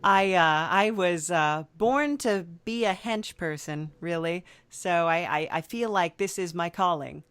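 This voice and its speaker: A woman hosting a comedy podcast, playing along with a halting, filler-heavy manner. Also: on the low side, fairly bright tone, smooth, no room sound. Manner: hesitant, many fillers, playing along with mock seriousness. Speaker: podcast host. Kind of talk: improvised comedy interview.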